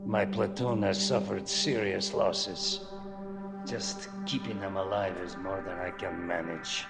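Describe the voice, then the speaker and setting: Tired platoon leader, older, low and slow, game cutscene with a big score. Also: male voice, steady tone, vocal fry, over loud music. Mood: grave. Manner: slow, grave and measured. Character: weary older male mercenary platoon leader. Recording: game cutscene with loud score and long reverb.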